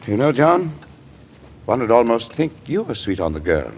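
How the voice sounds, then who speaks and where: An actor playing a moody, brooding writer and husband in an old-time radio drama, curt and dismissive in manner. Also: male voice, mid-range voice, very animated, normal speed. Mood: dismissive, needling. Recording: noisy old radio broadcast recording.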